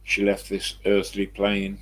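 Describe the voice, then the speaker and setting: An elderly English man with a croaky smoker's voice, rambling casually to viewers on a live stream and answering his own questions. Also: fairly low pitch, completely flat delivery, unhurried, fairly bright tone. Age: 70s or older. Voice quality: croaky, husky smoker's voice with a cough.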